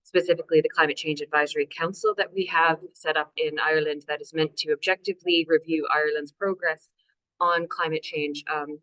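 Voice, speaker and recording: Low-voiced Canadian woman presenting. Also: fairly even intonation, a little fast, a bit bright, no echo, low-quality recording. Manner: chatty, self-deprecating, many fillers. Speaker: local authority climate officer. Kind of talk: webinar presentation. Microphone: video call.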